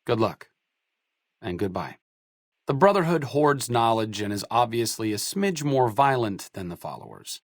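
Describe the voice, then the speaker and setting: An actor playing an idealistic, bookish wasteland doctor in a video game, articulate and wry in scripted dialogue. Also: male voice, mid-range voice, lively intonation, moderate pace, dry recording, clean studio sound. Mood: earnest, wry. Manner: articulate, wry, erudite wording.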